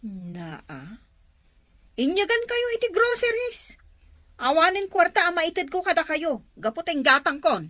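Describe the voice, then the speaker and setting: A woman plays an adult daughter who has run out of patience with her mother. Her scolding voice is high and very lively. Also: a little slow, no room sound, exaggerated voice. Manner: scolding, exasperated.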